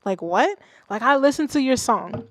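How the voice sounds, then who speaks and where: A woman's voice: high-pitched, normal speed, no room sound. Young woman, haitian accent, livestream host, casual, swears a lot, rambling, dry close sound. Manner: profane, rambling, animated, laughs often. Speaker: streamer reviewing submitted music.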